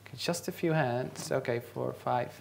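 A man's voice: medium pitch, normal speed, vocal fry, a little hiss or hum. Young Czech man giving a tech talk. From Czech Republic. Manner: quizzing the audience. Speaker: conference speaker. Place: large lecture hall, on stage.

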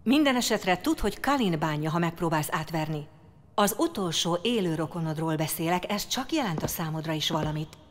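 Actress doing a strict formal council lady, admonishing, low-ish, lots of reverb, radio drama. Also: animated, slight background noise, lightly acted. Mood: stern. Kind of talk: audio drama acting. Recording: long reverb of a large hall.

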